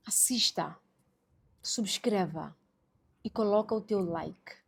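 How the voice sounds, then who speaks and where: A woman's voice: mid-range voice, husky. Woman podcast host, exhorting and emphatic. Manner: exhorting, emphatic, addresses listeners directly. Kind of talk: religious podcast introduction with preaching exhortation.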